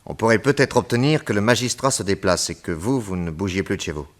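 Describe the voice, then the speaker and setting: An actor playing a shrewd, protective detective in a French radio serial, brisk, familiar and self-assured. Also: male voice, on the low side, expressive, close to an everyday voice. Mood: concerned, brisk.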